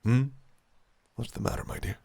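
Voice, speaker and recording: A man's voice: normal speed, mellow, close to an everyday voice. A man voicing a possessive, cunning fae prince in an audio roleplay, speaking in a silky, teasing, menacing way. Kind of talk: listener-directed audio roleplay.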